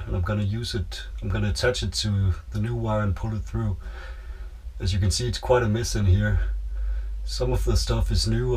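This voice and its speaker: A man's voice: on the low side, husky, rough recording. A middle-aged man casually explaining his boat repairs as he works, in a plain, slightly hesitant way. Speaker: DIY sailboat vlogger. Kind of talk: casual narration while doing boat repairs. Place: aboard a small boat, cabin and cockpit.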